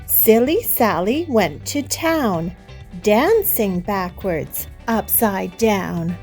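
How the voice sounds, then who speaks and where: A woman hosting story time, reading a picture book aloud to young children in a deliberate, sing-song manner. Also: mid-range voice, animated, a little mellow, faint music behind. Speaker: children's storybook reader and host.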